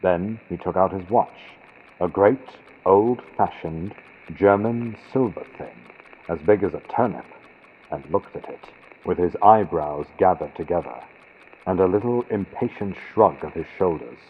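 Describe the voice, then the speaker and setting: Deep husky guy reading an old spooky story, real theatrical, sounds like an old radio. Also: expressive, a little slow. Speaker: audiobook narrator. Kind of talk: dramatic scripted narration of a gothic horror story. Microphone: built-in computer microphone. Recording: muffled vintage-radio sound from built-in computer mic.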